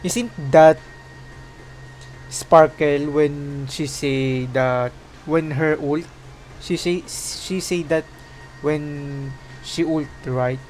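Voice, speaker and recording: A man livestreaming a game with casual, slangy reactions, speaking haltingly. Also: fairly high pitch, steady tone, a little mellow, a little hiss or hum, poor audio.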